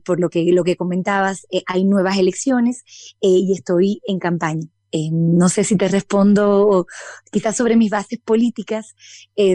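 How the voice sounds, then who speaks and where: A woman answers interview questions, pausing and restarting as she gathers her thoughts. Her voice is mellow and dark, and it is recorded dry and close with no room around it. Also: mid-range voice, no echo. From Dominican Republic. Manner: thoughtful, many restarts and fillers. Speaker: interview guest, politician.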